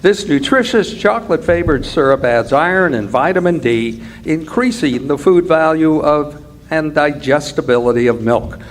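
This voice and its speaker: A male announcer delivers a vintage radio advertisement with measured, promotional phrasing. His voice is fairly high and very animated, with faint noise behind it. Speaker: commercial announcer. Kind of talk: old-time radio advertisement reading. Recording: live stage with faint noise.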